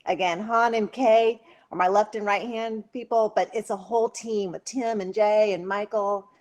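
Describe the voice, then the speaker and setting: A woman talking casually on a video call, her voice pitched in the middle. She is chatty, laughs often and leans on fillers as she talks. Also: fairly even intonation, normal speed, darkish tone, lo-fi sound. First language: English. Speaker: social media volunteer. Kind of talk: casual conference talk.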